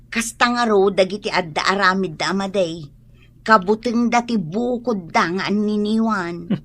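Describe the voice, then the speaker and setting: A woman's voice: medium pitch, moderate pace, a bit bright, close to an everyday voice. A woman with an Ilocano Filipino accent playing a skeptical, practical village wife in a comedic radio drama.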